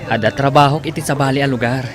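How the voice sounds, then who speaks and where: A man's voice: medium pitch, steady tone, quick pace, crowd noise, natural voice. A man with an Ilocano accent acting in a radio drama as an earnest, wistful bachelor devoted to a lost love. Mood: polite. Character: lovelorn single man, devoted to lost sweetheart.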